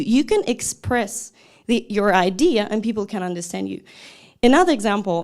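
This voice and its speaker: A young woman, a language teacher giving a lively, chatty talk to an audience. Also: mid-range voice. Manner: lively and chatty, with small laughs and restarts.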